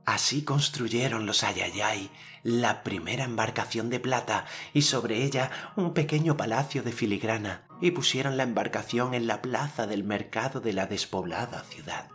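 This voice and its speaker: A man voicing a confident, generous boy hero telling tales in an audiobook, in a measured manner. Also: on the low side, fairly bright tone, faint music behind, pitched above the performer's own voice, close to an everyday voice. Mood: solemn storytelling. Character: generous, self-assured boy storyteller hero, inventing tales. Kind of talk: audiobook character narration.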